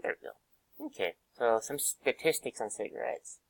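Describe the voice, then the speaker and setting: Hoarse young man, student presenter with a cold, casual and halting, middle pitch, dry sound. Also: lively intonation, dry recording. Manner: casual, many fillers, pauses. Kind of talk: class presentation.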